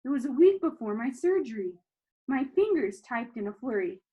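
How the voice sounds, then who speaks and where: Woman reading a kids book out loud, kinda high voice, acts out the lines. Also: normal speed, husky, dull audio. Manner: animated, acts out dialogue. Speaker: reader of a children's novel. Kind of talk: expressive read-aloud storytelling.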